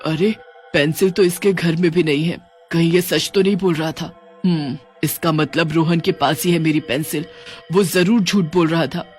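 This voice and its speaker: A man's voice: a little high, fairly even intonation, normal speed, faint music behind, close to an everyday voice. A man voicing a menacing, short-tempered female witch in a dramatic horror storybook, demanding and threatening. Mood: frantic, suspicious.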